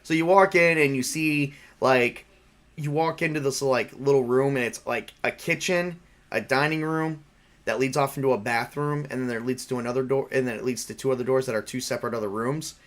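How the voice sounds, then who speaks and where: A man with a mid-pitched voice runs a tabletop roleplaying game in a casual, improvised way. He rambles through scene descriptions, often repeating and rephrasing himself, and slips into in-character banter. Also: fairly quick. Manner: rambling, repeats and rephrases himself, makes spoken sound effects. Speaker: game master and player.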